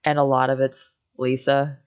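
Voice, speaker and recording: A woman's voice: extremely low pitch, little pitch movement, moderate pace, smooth. A woman in a casual chat commenting on a video game as a streamer, speaking in an opinionated, candid way.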